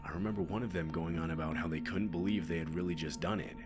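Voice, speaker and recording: Male horror narrator, extremely low register, scripted first-person storytelling over a clear music bed. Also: quick pace. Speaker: narrator of true scary stories. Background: background music.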